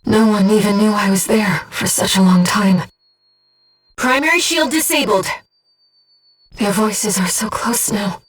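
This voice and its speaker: A gentle disembodied female being serves as a devoted mission guide in a video game. Her medium-pitched voice is composed and earnest, with precise phrasing, and sits a little away from the mic. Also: unhurried, pauses often, breathy edge, recorded from a distance, low-quality recording. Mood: wistful, sorrowful, then urgent, alert.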